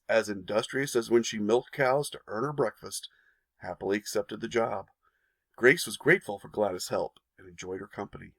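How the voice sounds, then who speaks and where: A man with a gravelly, mid-pitched voice narrates a history lesson for his channel. He reads the story, then drops into casual asides that point viewers to more. The sound is dry and close, with no room around it. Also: no room sound.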